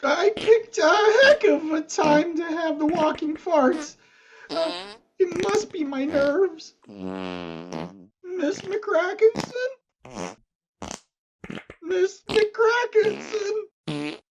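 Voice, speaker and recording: A high-voiced, very slow-talking nervous bumbler in a comic audio play. He whines and frets haltingly, his pitch swinging all over, with clear noise behind him. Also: huge pitch swings, heavily acted. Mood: fretful, nervous.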